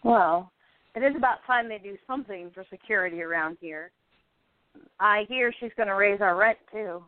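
A woman's voice: mid-range voice, moderate pace, dry recording, close to an everyday voice. A woman playing a wry, shy woman in love, with a hesitant manner, in an amateur radio play. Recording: thin phone-like line.

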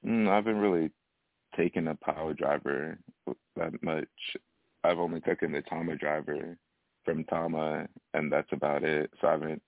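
A young man from Alaska, a wrestling guest, answering questions in a soft-spoken, hesitant way with easy laughs. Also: very deep voice, steady tone, normal speed, no room sound. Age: young adult. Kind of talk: casual interview over phone. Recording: tinny phone line.

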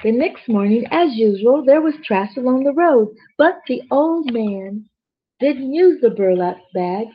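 A woman storyteller with a husky voice reads a children's bedtime story expressively, with chatty asides to young listeners. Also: fairly high pitch, animated, a little slow. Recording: dull, lo-fi sound.

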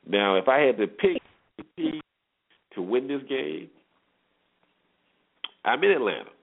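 A man's voice: mid-range voice, big pitch swings, a little slow, creaky. A man hosting a solo sports show, giving informal commentary and repeating phrases for emphasis. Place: solo internet radio show. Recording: muffled phone-like internet radio audio.

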